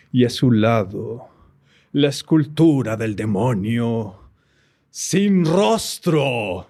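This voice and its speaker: A man with a Chilean Spanish accent narrating a horror story in a slow, ominous way, breaking into sinister laughs. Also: fairly low pitch, a little mellow. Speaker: guest co-narrator.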